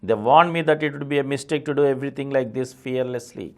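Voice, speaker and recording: A man's voice: mid-range voice, quick pace, darkish tone. Indian-accented man reading a memoir. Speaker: audiobook reader, channel presenter.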